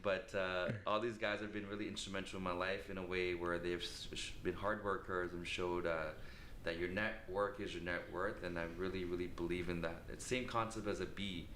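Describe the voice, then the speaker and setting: A man's voice: deep voice, level tone, brisk, hardly any pauses, fairly bright tone, roomy sound, a little hiss or hum. A man in his thirties from Sri Lanka, a podcast guest talking in a reflective, rambling way. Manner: reflective, rambling, spiritual talk. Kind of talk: casual interview chat.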